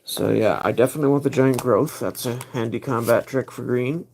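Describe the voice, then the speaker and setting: A man's voice: mid-range voice, brisk, vocal fry, darkish tone, a little hiss or hum. A man hosting a casual livestream, thinking aloud as he picks cards. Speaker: livestream host.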